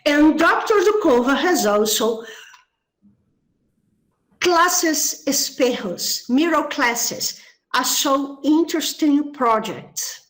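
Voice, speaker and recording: A woman's voice: high-pitched, expressive, slow, a bit bright, poor audio. A woman moderator reading out a guest speaker's credentials in a formal, list-like way.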